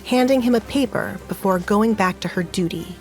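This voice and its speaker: Woman reading story narration for an audio drama, mid pitch, soft music underneath. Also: moderate pace.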